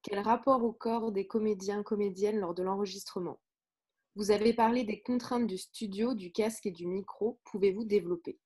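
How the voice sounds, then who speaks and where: A woman's voice: medium pitch, steady tone, moderate pace, dark tone, husky. A woman moderator reading audience questions aloud during a live online talk.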